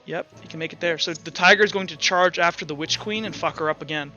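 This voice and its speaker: A man running a tabletop roleplaying game, improvising his narration in a halting way and repeating words as he thinks aloud. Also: fairly high pitch, sharp tone, highs cut off, soft background music. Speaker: game master.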